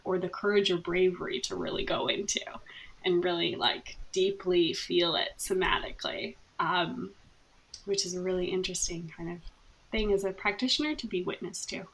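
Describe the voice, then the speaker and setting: A young woman therapist from Canada talks in a soft, gentle voice with a noticeable gravelly fry at the ends of her phrases. She speaks thoughtfully and laughs easily as a podcast guest. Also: on the low side, rough recording. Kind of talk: podcast interview conversation.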